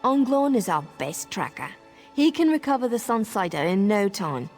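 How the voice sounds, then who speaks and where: Woman playing a confident, dry female marine, mid-pitched. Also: sing-song, normal speed, a little mellow, close to an everyday voice. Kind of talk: video game voice acting. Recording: game music behind the voice.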